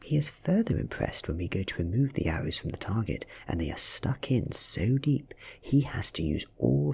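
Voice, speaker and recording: A man with a British accent softly narrating a story in ASMR style, breathy and often near a whisper. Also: on the low side. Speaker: audiobook reader.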